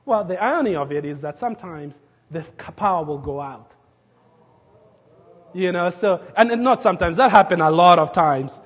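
A man from Kenya, preaching a sermon and telling a story with fillers and restarts, sometimes dropping his voice to a hush. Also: high voice, big-room sound, lo-fi sound. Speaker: pastor.

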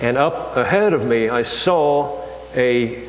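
Older man preaching in an echoey church. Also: medium pitch. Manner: conversational, halting, many fillers and restarts. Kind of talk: sermon. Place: church hall. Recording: echoey hall, faint hum.

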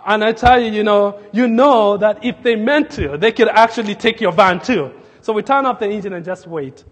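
A man from Kenya, preaching a sermon and telling a story with fillers and restarts, sometimes dropping his voice to a hush. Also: high voice, moderate pace, big-room sound. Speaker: pastor.

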